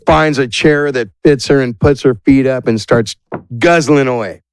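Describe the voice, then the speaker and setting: A man's voice: mid-range voice, normal speed, clean tone, no echo. A man narrating a tabletop roleplaying game as game master in a casual, playful, improvised way.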